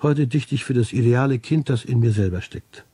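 A man's voice: mid-range voice, normal speed, dark tone, slightly rough. Man reciting playful rhymes on old tape. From Germany. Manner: playful, rhythmic verse recital. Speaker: poet reading his own verse. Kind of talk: archive interview and poetry reading.

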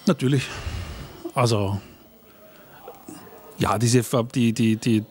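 A man's voice: mid-range voice, fairly even intonation, takes it slowly, slight background noise. A man talking as a guest on a panel, thinking aloud with frequent restarts and fillers as he explains his work. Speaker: guest, radio play and book author. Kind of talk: conversational panel talk. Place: at a live panel event.

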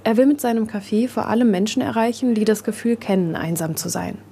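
A woman's voice: fairly high pitch, a little fast, warm tone, slight background noise. A woman reporter reads scripted narration for a radio reportage.

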